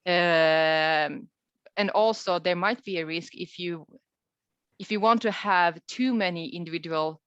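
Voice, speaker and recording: A woman researcher answering questions in an informal webinar, speaking thoughtfully and hesitantly with pauses mid-sentence. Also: fairly low pitch, a bit bright, smooth, no echo. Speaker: researcher presenting a study as webinar guest. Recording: dull, lo-fi online call audio.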